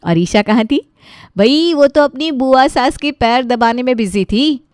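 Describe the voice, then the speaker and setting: A woman reading scripted storybook narration in an expressive, lightly wry way. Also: high voice, a little slow, no echo, studio quality.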